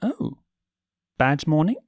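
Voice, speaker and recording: Man voicing a lofty, high-pitched owl prince from a fan storybook reading, posh and cooing, all smug charm. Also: sing-song, slow pace, no echo, clean studio sound. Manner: cooing, teasing, theatrical. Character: posh, doting male demon owl prince.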